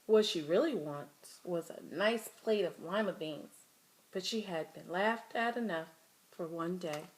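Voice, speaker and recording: A woman's voice: on the low side, measured pace, poor audio. A woman storyteller reading a children's picture book aloud with dramatic, emphatic phrasing.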